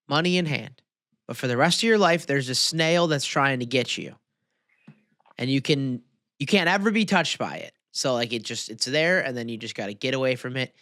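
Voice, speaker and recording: A man's voice: medium pitch, fairly bright tone, dry recording, studio quality. A man co-hosting a podcast in casual banter, with slangy, bro-heavy speech. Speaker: podcast co-host. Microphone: close podcast microphone.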